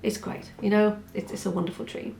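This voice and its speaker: A woman who is hoarse from a cold, vlogging in a chatty, rambling way with many asides. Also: medium pitch, little pitch movement, brisk, warm tone, a little hiss or hum. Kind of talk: casual chat. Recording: roomy echo with faint music.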